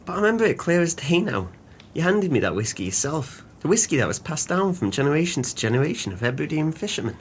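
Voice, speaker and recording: A man's voice: a little high, sing-song, quick pace, a little hiss or hum. A man playing a drunken, dreamy, gullible former sailor in an audio play, earnest and whimsical.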